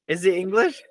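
A man co-hosting a casual podcast, bantering through a quiz in a joking, animated way. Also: sits high, no room sound, studio quality. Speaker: podcast co-host.

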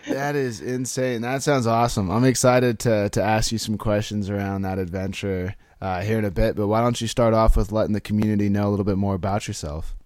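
A man's voice: lowish voice, fairly even intonation, a little fast, hardly any pauses, a little mellow, no room sound, clean studio sound. A man hosting a podcast, upbeat and enthusiastic as he introduces and questions his guest. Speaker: podcast host. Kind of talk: podcast interview.

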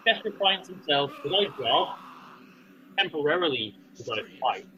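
A man giving a theatrical, emphatic dramatic reading of a story, with deliberate pauses. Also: fairly high pitch, animated, pushed and bright, muffled recording, slight background noise. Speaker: host reading his show's story.

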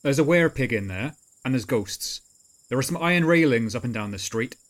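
A man plays a chummy, practical paranormal investigator in a horror roleplay. He speaks at an ordinary male pitch. He rallies his friends plainly, as if planning a job. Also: expressive, fairly quick, lightly acted. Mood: rallying.

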